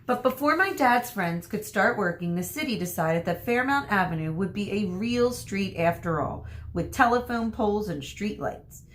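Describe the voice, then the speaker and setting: A woman speaking as an elementary school teacher, reading a story aloud to children and pausing to explain things. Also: medium pitch, expressive, a little hiss or hum. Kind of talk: read-aloud lesson for children.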